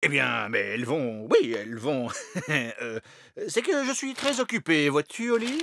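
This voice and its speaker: A man's voice: fairly high pitch, big pitch swings, measured pace, gravelly, sharp tone, no echo, heavily acted. An actor playing a busy, blustering fairy king and father who hesitates and chuckles his way out of a question, in a French cartoon dub. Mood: flustered.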